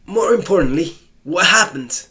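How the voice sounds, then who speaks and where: A man commenting casually on a game, joking and reacting with quick asides and stammers. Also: sits high, lively intonation, pushed and bright. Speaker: let's play host.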